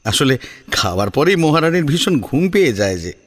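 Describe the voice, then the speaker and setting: Expressive male storyteller with lively pitch. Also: normal speed. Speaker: narrator. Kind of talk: dramatic scripted story narration.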